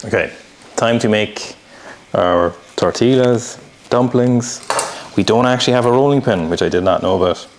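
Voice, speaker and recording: Laid-back low Irish man making dough. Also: moderate pace, slight background noise. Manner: laid-back, dry, teasing. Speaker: cook demonstrating a recipe. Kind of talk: casual cooking demo chat. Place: home kitchen indoors.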